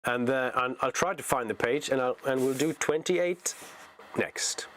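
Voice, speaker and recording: A man's voice: mid-range voice, unhurried, a bit bright, slight background noise. A young man from Norway with a Norwegian accent and Norwegian as first language, reading a novel aloud on a livestream and chatting, often restarting his words. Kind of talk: live reading and casual chat.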